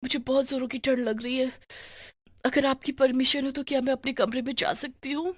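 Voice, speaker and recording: A woman's voice: high-pitched, flat delivery, moderate pace, dry recording, studio quality, pitched above the performer's own voice. A scheming, work-shy young wife as a woman storyteller acts her wheedles in a slightly raised voice. She is theatrical and self-pitying, like a pampered character in a cartoon moral tale. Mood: feebly wheedling. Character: sly, lazy young elder daughter-in-law feigning illness.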